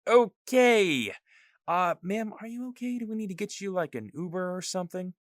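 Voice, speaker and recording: A man's voice: sits high, normal speed, no room sound, clean studio sound. A man as a wisecracking young ex-Ranger. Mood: wary. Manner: wisecracking and excitable. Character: young male former Ranger, cocky and joking. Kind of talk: audio drama acting.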